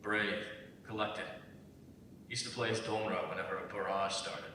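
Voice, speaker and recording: A man playing a haunted, guarded war veteran in a staged reading, speaking in a measured, brooding way. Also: fairly even intonation, pushed and bright. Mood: wry, nostalgic. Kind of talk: staged dramatic script reading. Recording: very echoey, distant, low rumble.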